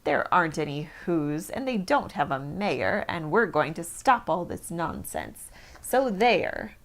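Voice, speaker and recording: A woman doing scornful shouting monkeys. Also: low-pitched, sing-song, a little slow, voice pushed down, exaggerated voice. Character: rowdy mocking male monkey brothers.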